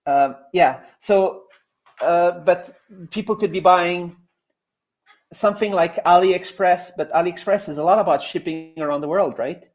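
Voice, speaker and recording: Slightly high-voiced halting male interviewer. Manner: halting, restarts sentences, thinks aloud. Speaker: host, interviewer. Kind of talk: casual live interview.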